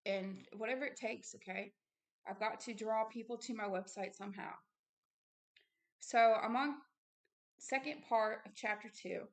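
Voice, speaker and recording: Woman giving halting, earnest commentary. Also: fairly high pitch. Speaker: religious video host. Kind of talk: informal commentary while reading aloud from a book.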